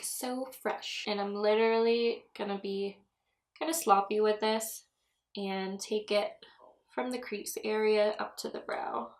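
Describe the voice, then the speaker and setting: A young woman vlogging a makeup tutorial in a chatty, rambling way, laughing often, her voice a bit stuffy from a cold. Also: mid-range voice. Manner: chatty, giggly, rambling asides. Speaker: beauty vlogger. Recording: echoey room.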